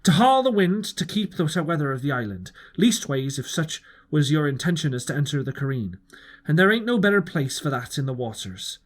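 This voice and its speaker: A man's voice: fairly high pitch, very animated, brisk. A man voicing a wily old one-legged pirate ship's cook in an audiobook, falsely jolly, with folksy sailor talk. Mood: deferential. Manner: folksy, rustic sailor's turns of phrase.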